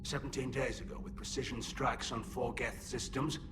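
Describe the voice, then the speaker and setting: A man playing formal alien admiral over loud music. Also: medium pitch, flat delivery, moderate pace, lightly acted. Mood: grave. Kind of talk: video game voice acting.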